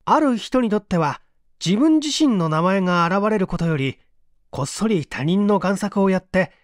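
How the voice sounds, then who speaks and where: A man voicing an eager, scheming mystery writer with an animated, persuasive manner in a storybook reading. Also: high voice, no echo, clean studio sound, pitched above the performer's own voice. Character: scheming male mystery writer, eager, pleased with his mischief.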